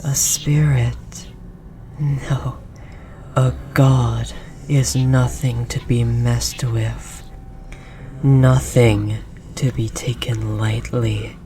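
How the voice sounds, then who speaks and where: Casting: ominous forest deity played by an actor for audio roleplay, extremely slow, breathy whispering, gently menacing and possessive, over noisy ambience. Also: medium pitch, level tone. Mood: condescending, menacing. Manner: slow, solemn, archaic formal phrasing. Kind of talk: scripted roleplay audio drama monologue.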